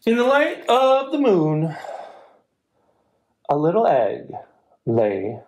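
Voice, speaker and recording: Expressive, roomy-sounding man hosting children's storytime. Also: high voice, takes it slowly, a little hiss or hum. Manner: expressive, encouraging to young listeners. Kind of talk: children's storytime reading, songs and action rhymes. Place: indoors, living room. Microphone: camera a few feet away. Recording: roomy living-room echo, camera mic.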